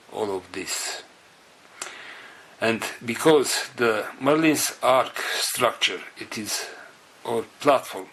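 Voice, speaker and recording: Very slow, low-voiced earnest man. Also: fairly bright tone, breathy edge, poor audio. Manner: halting, earnest, phrases broken by pauses. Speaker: spiritual group leader. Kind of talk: spiritual address to group members.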